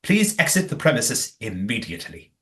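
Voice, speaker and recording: A man's voice: mid-range voice, wildly swooping pitch, normal speed, pushed and bright. An actor playing a righteous, well-spoken man in an audio play, formal and emphatic. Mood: indignant. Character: righteous, well-spoken man guarding his community's history.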